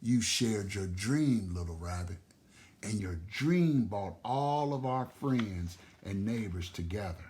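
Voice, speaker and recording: A man voicing a gentle, loving old grandmother rabbit in a storybook, soft, slow and tender. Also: on the low side, expressive, slight background noise. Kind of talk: storybook reading aloud.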